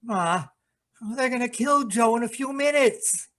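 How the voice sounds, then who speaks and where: A man's voice: very high voice, unhurried, fairly bright tone. A man acting a deranged, fanatically loyal young gangster in an old-time radio drama, agitated, whining and slangy. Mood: anxious. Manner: agitated, muttering, slangy dropped-g speech.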